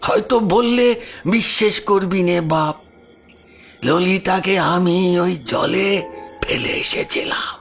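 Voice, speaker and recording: An old village man, creaky and raised in pitch, over faint music. He talks very slowly and haltingly, full of grave regret, as in a horror audio drama. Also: a bit bright, heavily acted. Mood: grave, regretful. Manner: halting, repeats words, fatherly rustic address. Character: remorseful old village man, former ghost-binding occultist. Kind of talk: horror audio story acting.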